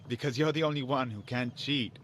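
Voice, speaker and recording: A man's voice: mid-range voice, a little fast, fairly bright tone, slight background noise, lightly acted. A man playing a princess's young brother in an audio game, protective, conspiratorial and a little mischievous. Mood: caring, sly. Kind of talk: audio game drama acting.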